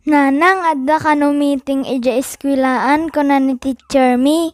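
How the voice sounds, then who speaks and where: Actress as a high-voiced polite schoolchild. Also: female voice, fairly even intonation, unhurried, soft background music. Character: polite young schoolchild talking to mother. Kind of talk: Ilocano radio drama acting.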